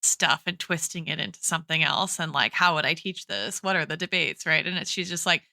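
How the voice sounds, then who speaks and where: A woman's voice: low-pitched, brisk, creaky, pushed and bright, no echo, studio quality. A woman hosting a podcast, chatting casually about ideas in an informal, wry and sweary way. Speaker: podcast host. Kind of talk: casual intellectual podcast chat.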